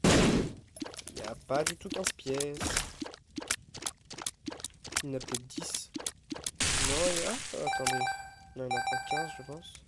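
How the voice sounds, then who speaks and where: A man's voice: medium pitch, unhurried, pauses often, over loud music. A man with a head cold giving casual gameplay commentary as a streamer, thinking aloud as he counts and weighs his choices. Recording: loud background noise.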